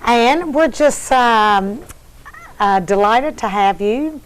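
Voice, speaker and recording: A woman hosting a local TV interview, admiring and halting, with many fillers. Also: fairly high pitch, animated, clean tone, rough recording. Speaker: TV interview host.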